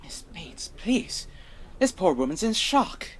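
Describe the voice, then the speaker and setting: A man's voice: sits high, big pitch swings, takes it slowly, full character voice. An actor playing an incredulous, kindly everyman newspaper journalist, baffled and skeptical, in a sci-fi comedy audio drama. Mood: concerned. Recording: clearly noisy background ambience.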